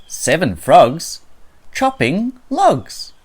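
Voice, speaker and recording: A narrator reading a children's counting picture book aloud in a deliberate way, with swooping intonation. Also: mid-range voice, wildly swooping pitch, slightly rough.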